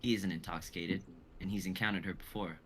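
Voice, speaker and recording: A young man narrates a script live in a dramatic style. His voice is low yet bright and forceful, with faint noise behind it. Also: fairly even intonation, fast talker. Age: young adult. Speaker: narrator reading stage directions.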